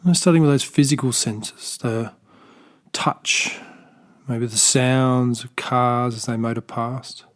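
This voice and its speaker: A man with an Australian accent leads a body scan meditation in a soft, unhurried voice. His pitch sits in the middle range, and he leaves long pauses between gentle, loosely phrased instructions. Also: fairly even intonation. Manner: slow, soft-spoken, gentle guidance with pauses; unscripted, with small restarts. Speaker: meditation teacher.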